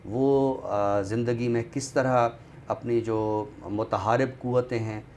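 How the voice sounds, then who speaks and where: A man with a Pakistani accent discussing books in a deliberate, scholarly way, often pausing mid-sentence. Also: mid-range voice, steady tone, darkish tone. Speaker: literary show presenter. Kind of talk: book discussion in Urdu literary talk. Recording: noticeable background noise.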